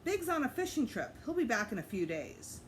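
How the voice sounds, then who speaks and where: Woman reading as a friendly, animated grandmother. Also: a little high, fairly quick, a little hiss or hum, voice pushed up. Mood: matter-of-fact. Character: Mrs. Apfel, friendly matter-of-fact grandmother next door. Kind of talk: children's book read-aloud.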